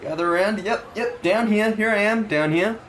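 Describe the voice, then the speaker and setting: Man playing a high-pitched tiny magician showman. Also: normal speed, a bit bright, a little hiss or hum. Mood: eager showman. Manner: repetitive showman patter. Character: tiny male street magician, brash showman, insulting. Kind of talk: comedy sketch acting.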